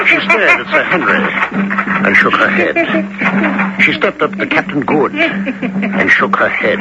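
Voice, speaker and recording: An actor playing an adventurer who recounts his perilous expedition in dramatic, measured radio narration. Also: medium pitch. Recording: dull old broadcast with loud music.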